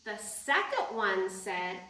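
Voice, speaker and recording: A woman's voice: mid-range voice, sing-song, slow, pushed and bright. A woman leading a storytime for young children, reading aloud and talking in a sing-song way with simple prompts. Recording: echoey room, distant microphone.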